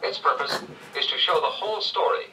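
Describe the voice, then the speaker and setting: A man reading scripted 1970s educational narration with measured, clear storytelling phrasing. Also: a little high, expressive, pushed and bright, distant mic, poor audio. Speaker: read-along narrator. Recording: old read-along played back from afar, faint noise.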